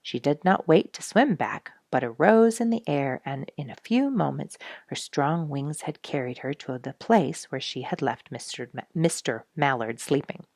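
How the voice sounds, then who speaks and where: Low-voiced, animated woman storyteller. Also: normal speed, no echo, clean studio sound. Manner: expressive, storybook phrasing. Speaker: storyteller for children. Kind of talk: read-aloud children's story narration.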